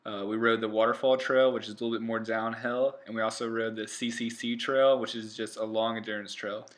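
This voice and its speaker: Young man with flat delivery. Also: fairly low pitch, brisk. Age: 20s. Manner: earnest, upbeat, addresses viewers as guys. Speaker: channel co-host. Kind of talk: casual voice-over narration.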